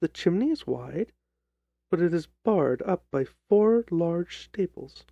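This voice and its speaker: Man voicing a soft, distressed young gentlewoman storyteller. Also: high-pitched, animated, slow, gravelly, no room sound, lo-fi sound, full character voice. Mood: earnest, troubled. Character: distressed young gentlewoman recounting her sister's terrifying death. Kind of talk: dramatic audiobook storytelling.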